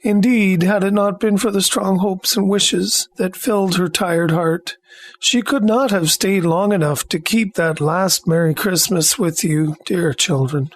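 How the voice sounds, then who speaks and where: A man playing a kindly doctor in an audio drama, speaking in a gentle, formal and reassuring manner. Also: high voice, unhurried. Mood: consoling. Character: kindly adult male doctor, consoling and gentle.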